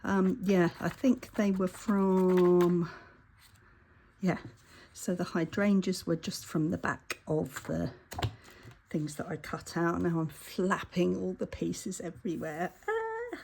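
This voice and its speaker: A woman's voice: fairly low pitch, lively intonation, slow pace, vocal fry, a little mellow, a little hiss or hum. A woman with a congested voice from a heavy cold, chatting casually about her crafting and stumbling over her words. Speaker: craft vlogger. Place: home craft table.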